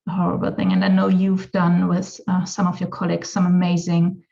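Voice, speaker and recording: A woman's voice: fairly low pitch, monotone, brisk, vocal fry, dark tone. A woman interviewing a guest online, asking questions hesitantly with many fillers. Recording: dull lo-fi audio.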